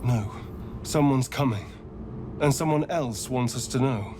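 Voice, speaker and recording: An actor playing a flippant, bloodthirsty elven rogue in a video game, with a British accent and a sardonic, theatrical way of talking. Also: male voice, medium pitch, a little slow, noisy background, natural voice. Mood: wary, sarcastic. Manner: theatrical, arch, sarcastic drawl. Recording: reverberant game mix with loud music.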